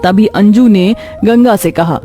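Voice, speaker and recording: Mellow female story narrator. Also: mid-range voice, a little slow, faint music behind. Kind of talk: scripted cartoon story narration.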